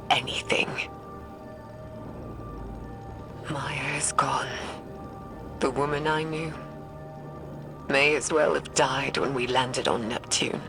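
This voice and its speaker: An actress playing an aging, guilt-ridden woman scientist recording a weary, halting, breathy audio log for a video game. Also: a bass voice, heavily acted. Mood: numb grief. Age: older. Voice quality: breathy, whispery. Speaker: researcher recording audio logs. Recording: music behind the voice.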